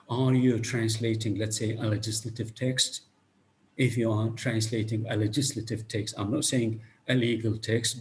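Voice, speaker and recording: Low-voiced lecturer from Iraq. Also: male voice, a little hiss or hum. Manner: explains step by step with rhetorical questions and "okay?". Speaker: guest lecturer. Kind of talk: online academic lecture with questions. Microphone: video call.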